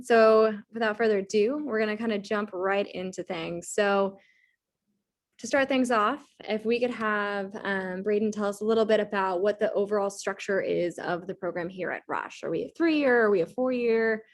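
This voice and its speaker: A woman moderating a video call, introducing speakers with brisk, upbeat hand-offs. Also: mid-range voice.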